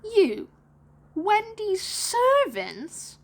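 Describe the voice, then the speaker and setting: A woman voicing an earnest, excitable little boy in a storybook, with emphatic, drawn-out childlike speech. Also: high voice, pitched above the performer's own voice, heavily acted. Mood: aghast. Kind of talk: storybook read-aloud character voices.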